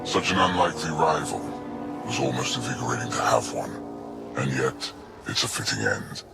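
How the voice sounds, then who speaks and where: An actor voicing an arrogant, menacing alien warlord in a video game, imperious and theatrical. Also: male voice, rumbling low, expressive, moderate pace, vocal fry, loud music behind the voice, full character voice. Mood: contemptuous gloating. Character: arrogant, menacing ancient alien warlord gloating over his captive. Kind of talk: cinematic video game acting.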